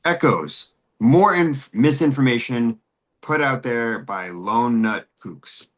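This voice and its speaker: A man's voice: mid-range voice, animated, slow pace. A man playing a skeptical, grumbling heckler in the audience at a screenplay table read.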